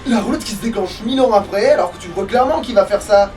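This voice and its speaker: A man's voice: sits high, expressive, fast talker, darkish tone, faint music behind. A man playing a video game and commenting casually in a rambling, exasperated, grumbling way. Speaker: let's player. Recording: distant mic with faint background noise.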